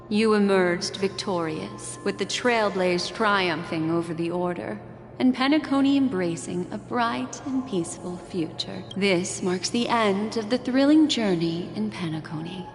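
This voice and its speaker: An actress voicing a calm, alluring woman who speaks with a teasing, playful tone in a game. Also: mid-range voice, natural voice. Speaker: game character. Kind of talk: voiced game dialogue. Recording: game audio with long reverb and music.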